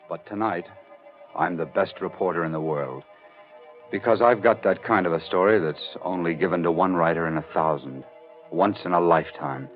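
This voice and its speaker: An actor playing a worldly police reporter, narrating in a deliberate hard-boiled 1940s radio noir manner. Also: male voice, deep voice, natural voice. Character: worldly male police-beat reporter telling his story. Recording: muffled old radio recording, faint music.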